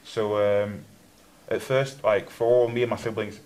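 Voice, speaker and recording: A young man from Germany hosts a casual church interview, his voice sitting a little low. He wanders through his questions, circling and restarting before he gets to the point. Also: fairly even intonation, measured pace, a little mellow, a little hiss or hum. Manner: rambling, restarts questions often.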